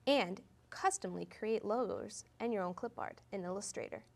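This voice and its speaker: A woman's voice: medium pitch, sing-song, normal speed, gravelly. A woman doing a scripted mock TV news report as a field reporter, with an exaggerated, peppy delivery. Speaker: field reporter in a college's comedic promo newscast.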